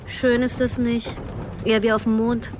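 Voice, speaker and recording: An actress playing an ambitious, sardonic young actress in a radio drama, speaking in a wry, pointed way. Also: female voice, on the high side, flat delivery, moderate pace, background noise, close to an everyday voice. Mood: cool, curt. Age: young adult. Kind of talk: radio drama dialogue and diary monologue. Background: music.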